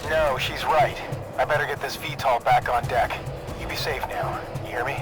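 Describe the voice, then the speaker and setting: An actor playing an informal, cocky military pilot in a video game. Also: male voice, mid-range voice, fairly even intonation, normal speed, natural voice. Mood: subdued. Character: cocky, loyal male American military pilot. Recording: game audio with loud music behind.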